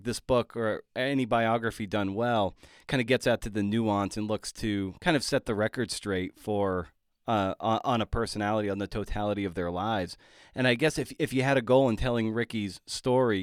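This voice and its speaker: A man with a somewhat low, very clear and smooth voice. He interviews casually as a podcast host, feeling his way through phrases. The recording is dry and close. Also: dry recording.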